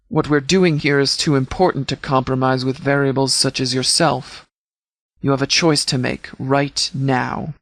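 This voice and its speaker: An actor playing a stern, calculating sheriff who commands a post-apocalyptic militia in a video game, speaking in a formal, measured, authoritative way. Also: male voice, medium pitch, fairly bright tone, no echo. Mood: firm, guarded. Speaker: sheriff and faction leader. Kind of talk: video game dialogue, briefing.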